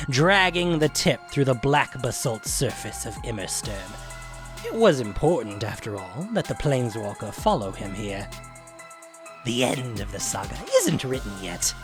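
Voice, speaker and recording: A gleefully wicked devil storyteller, played by a man, recounts a fantasy saga over music. The delivery swoops widely, sly and grandiose, lingering on choice words with theatrical pauses. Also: mid-range voice. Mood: sly, mock-sympathetic, then gloating, menacing.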